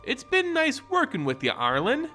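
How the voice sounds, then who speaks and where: A man's voice: very high voice, wildly swooping pitch, normal speed, pushed and bright, soft background music, voice pushed up, exaggerated voice. A man voicing a teasing, sardonic female ghost hunter in a fantasy storybook reading. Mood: wry teasing. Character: wry, teasing adventurer woman, ghost hunter.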